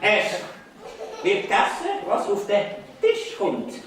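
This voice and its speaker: A woman's voice: medium pitch, very animated, slow. An actress playing a bossy, cackling forest witch who orders her robber husband about in children's musical theatre. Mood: commanding. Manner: commanding, cackling, exaggerated. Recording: distant echoey live hall, audience noise.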